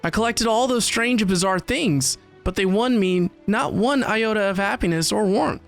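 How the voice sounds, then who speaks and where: A man's voice: high voice, normal speed, gravelly, soft background music. A man voicing a wounded, regretful merchant as he reads a final letter aloud dramatically from a video game. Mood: remorseful. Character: wounded, remorseful male merchant writing a last letter.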